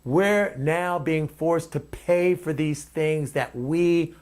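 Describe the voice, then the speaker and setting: A man's voice: on the high side, slow, darkish tone. A man hosting his own commentary, speaking off the cuff in an emphatic, opinionated way. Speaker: commentator and host.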